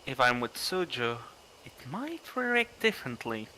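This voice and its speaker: A man's voice: mid-range voice, very animated, unhurried, bright, forceful tone, slight background noise, rough recording. A man reading a horror visual novel aloud on a livestream, with casual commentary in between. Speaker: livestreamer playing a horror game.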